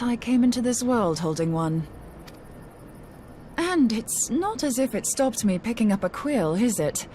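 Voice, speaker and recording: Young scholar heroine for a fantasy game, played by a woman: spirited, down-to-earth, wry, average pitch. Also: darkish tone, natural voice. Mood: wry, playful. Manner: informal, plain-spoken, wry. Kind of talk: video game voice acting. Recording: clear background noise.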